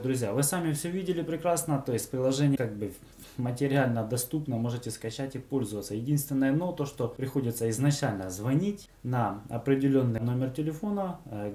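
Young male host, very dark soft-edged tone, mid pitch, informal tutorial narration, explanatory. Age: young adult. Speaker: host of a phone tips channel.

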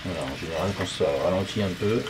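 Low-voiced chef in a noisy kitchen. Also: male voice, fast talker. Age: middle-aged. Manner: informal, talking while working with his hands. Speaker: chef demonstrating a recipe. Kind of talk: casual step-by-step cooking demonstration. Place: professional restaurant kitchen, tiled, indoors. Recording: clearly noisy kitchen with clattering dishes. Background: loud kitchen ambience, clattering pans and cutlery.

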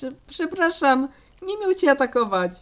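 Woman acting an earnest, high-pitched child creator. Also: big pitch swings, unhurried, muffled recording, a little hiss or hum. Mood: confused, pleading. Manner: earnest, hesitant, pleading. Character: earnest, naive young child creator, eager to please. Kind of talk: animated fan dub acting. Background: music.

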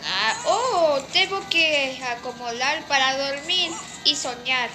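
An amateur fan dubber voicing an excitable, dessert-obsessed young male cartoon puppy, with exclamatory, drawn-out delivery. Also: high-pitched. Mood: eager. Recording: music under the voice. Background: cartoon music.

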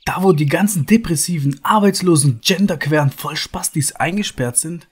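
A man's voice: on the high side, moderate pace, breathy edge. A man playing a blunt, crude uncle in an audio drama parody. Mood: gruffly casual. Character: blunt, coarse uncle giving lifts.

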